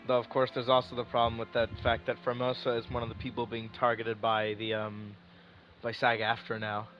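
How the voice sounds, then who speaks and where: A man's voice: medium pitch, completely flat delivery, a little fast, fairly bright tone. Casual male gamer reacting, music behind. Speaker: video game streamer reacting to story. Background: game music.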